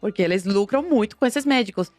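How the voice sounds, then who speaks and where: A woman's voice: medium pitch, expressive, fast talker, darkish tone, dry recording. A young woman speaks as a guest on a talk podcast. Her delivery is emphatic, stacking up short, clipped phrases. The sound is dry and close, with faint music underneath. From Cuba. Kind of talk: casual podcast conversation.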